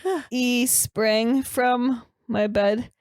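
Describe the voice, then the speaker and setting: A woman playing a dim, easily flustered young male student on a comedy podcast, reading aloud haltingly and stumbling over words. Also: a little high, fairly even intonation, no room sound, clean studio sound. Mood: halting, earnest. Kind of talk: improvised comedy podcast character.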